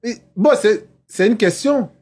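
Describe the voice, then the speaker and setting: A man from Côte d'Ivoire with a slightly high voice hosts a podcast conversation. He probes with follow-up questions and keeps up frequent short agreements. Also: lively intonation, a little slow, a little mellow, slightly rough. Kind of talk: podcast interview.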